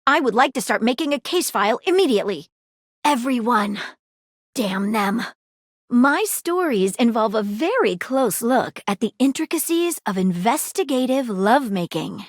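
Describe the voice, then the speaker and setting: A woman's voice: on the high side, animated, normal speed, dry recording, studio quality. A woman voicing a nosy, flirtatious female magnifying glass detective in a video game, with a playful manner. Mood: flirty. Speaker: dateable game character. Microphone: close studio mic.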